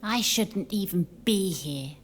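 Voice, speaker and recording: A woman's voice: mid-range voice, expressive, slow pace, slight background noise. A woman playing a put-upon lady's companion, bitter and complaining, in an audio drama. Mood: resentful.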